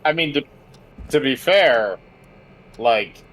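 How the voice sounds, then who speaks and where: A man's voice: medium pitch, lively intonation, slow, fairly bright tone, rough recording. A man giving casual let's-play commentary, reasoning things out aloud as he goes. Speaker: gaming co-commentator.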